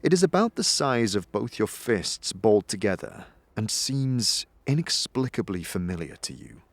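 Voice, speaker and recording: A man narrating a fantasy tale in a scripted, dramatic style, with suspenseful, deliberate storytelling. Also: on the low side, very animated, no echo.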